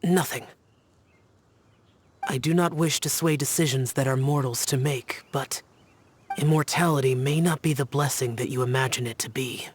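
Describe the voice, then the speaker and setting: A man's voice: mid-range voice, slight background noise, natural voice. A man voicing a solemn, aloof immortal guardian. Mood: stern, detached. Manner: formal, stern, measured speech. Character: solemn, aloof immortal male guardian adept, youthful-sounding. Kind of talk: video game character acting.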